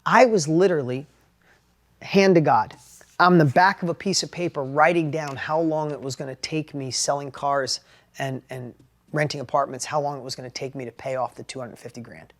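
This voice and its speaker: High-voiced New York man telling a story. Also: moderate pace. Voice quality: high-pitched voice. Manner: animated, plainspoken, repeats and restarts words. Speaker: entrepreneur and sales educator. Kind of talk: motivational talk with personal story.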